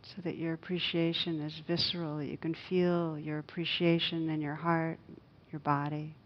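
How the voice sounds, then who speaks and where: A woman meditation teacher leading a guided loving-kindness meditation in a gentle, hushed, unhurried manner with long pauses. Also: deep voice, little pitch movement, lo-fi sound.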